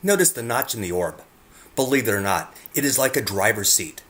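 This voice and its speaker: A man's voice: on the low side, lively intonation, a little fast, a bit bright. A man reading scripted narration in an earnest way, speaking directly to the viewer as he presents his work. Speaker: narrator presenting own photographs.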